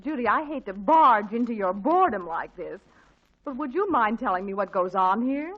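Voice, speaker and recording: A woman playing a patient, dry-witted mother in a 1940s radio comedy, with a deadpan, teasing manner. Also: on the high side, moderate pace. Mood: wry. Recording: muffled 1940s radio recording.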